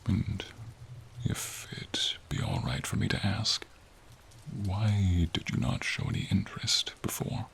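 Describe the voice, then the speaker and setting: Man voicing a deep, gravelly, slow-spoken gentle dragon. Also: animated, in a whisper, heavily acted. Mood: gentle concern. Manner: measured, pensive, slightly formal, with frequent pauses. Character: gentle, wise, lonely silver dragon befriending a knight. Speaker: voice actor in roleplay audio. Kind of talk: slow, intimate ASMR fantasy roleplay monologue. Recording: rain or ambient noise behind. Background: light rain, outdoor ambience.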